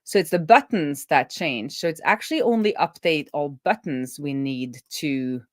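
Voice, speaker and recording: A woman with a low voice talks through her code as she works, recorded dry with very little room sound. Her delivery is casual and halting, with sentences that trail off and get restarted as she reasons things out. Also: animated, dry recording. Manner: halting, trailing off mid-thought and self-correcting. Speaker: developer hosting a live coding stream. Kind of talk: thinking aloud while coding, casual.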